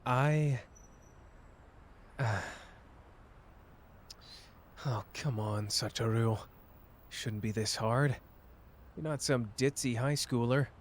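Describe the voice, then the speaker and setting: A man's voice: medium pitch, takes it slowly, long pauses, slight background noise, natural voice. A man playing a cocky, tender young male sorcerer in an intimate ASMR romance roleplay, with a playful, teasing, casual manner. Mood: nervous, earnest.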